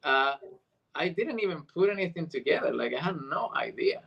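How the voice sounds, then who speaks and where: Higher-voiced man from Argentina, chatting casually. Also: animated, fairly quick, creaky, breathy edge, highs cut off. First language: Spanish. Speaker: interview guest, actor. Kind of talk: casual chat, storytelling.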